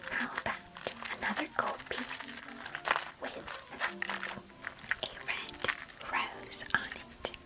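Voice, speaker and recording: A woman's voice: fairly high pitch, poor audio. A woman playing a chatty, doting young cousin in an ASMR roleplay, whispering breathily with playful grandeur. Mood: doting, flattering.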